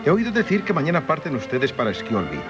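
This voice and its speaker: An actor playing a genteel, wistful painter in a 1970s radio drama, florid and courteous, with a Castilian Spanish accent. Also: male voice, fairly high pitch, huge pitch swings, quick pace, muffled recording. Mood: courteous wistfulness. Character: genteel, wistful, talkative small-town painter. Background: music.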